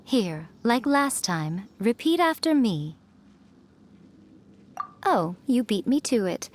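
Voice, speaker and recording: A woman's voice: on the high side, very animated, slow, a little hiss or hum. A woman playing a sly, elegant fox priestess in an anime-style video game, coy, playful and self-assured. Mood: pleased, teasing.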